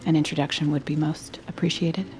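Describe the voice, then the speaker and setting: A woman playing a poised, flattering and gossipy psychiatrist in an audio drama, with an urbane, arch manner. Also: sits low, fairly quick, soft background music, lightly acted. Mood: effusive, flattering.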